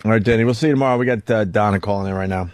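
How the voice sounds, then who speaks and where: Slightly low-voiced man hosting radio. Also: racing, darkish tone, no echo. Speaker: radio show host. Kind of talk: casual radio banter, moderating.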